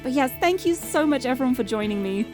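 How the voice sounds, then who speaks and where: Young woman, live-stream chatter, bubbly and quick to restart words, slightly high voice, a bit hoarse, game music behind. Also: expressive. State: voice getting tired and hoarse. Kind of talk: casual live-stream chat. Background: background game music.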